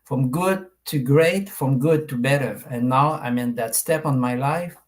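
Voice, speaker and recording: A man from Quebec whose first language is French, speaking with a French Canadian accent and searching haltingly for words on a video call. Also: medium pitch. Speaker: participant. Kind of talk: video call self-introduction.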